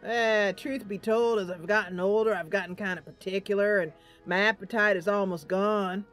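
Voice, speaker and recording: A woman's voice: mid-range voice, fairly even intonation, normal speed, natural voice. Woman acting folksy eccentric old man, music behind. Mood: rueful, confiding. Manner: rambling, folksy, theatrical with chuckles and sighs. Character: eccentric, cheerful old male herbalist, rustic and folksy. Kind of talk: game roleplay voice acting. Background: game music.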